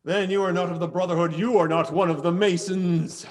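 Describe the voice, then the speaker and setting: A man's voice: high-pitched, steady tone, fairly quick, close to an everyday voice. A man playing a proud, stubborn drunken reveler with a cold, speaking with theatrical bluster in a period drama. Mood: boastful disbelief. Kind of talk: theatrical period drama acting over video call. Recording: long reverberant echo, music behind.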